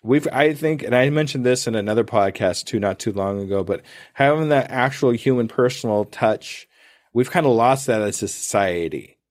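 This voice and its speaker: Guy hosting a podcast, rambly and friendly, mid pitch, dry close mic. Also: expressive, normal speed, no room sound. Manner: chatty, rambling, restarts and laughs. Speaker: podcast host. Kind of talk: casual interview chat.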